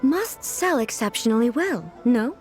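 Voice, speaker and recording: A regal goddess ruler from a video game, composed and curious. Her voice sits on the high side with lively movement, and she speaks formally, in a matter-of-fact way, over background music. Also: measured pace. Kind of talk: video game character dialogue.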